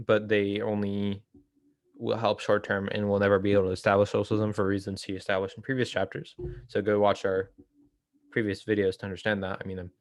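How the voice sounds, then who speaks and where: A man with a fairly low voice leads an informal theory read-along. He explains things hesitantly and corrects himself as he goes. The sound is dry, with very little room in it. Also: dry recording. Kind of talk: informal book discussion.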